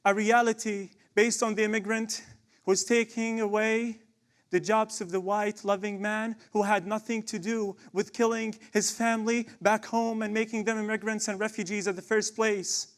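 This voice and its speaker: A young man delivers spoken word poetry with fierce conviction. His voice sits notably high for a man, and he builds each section toward a repeated rhetorical refrain. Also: flat delivery, moderate pace, clean tone. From Palestine, West Bank.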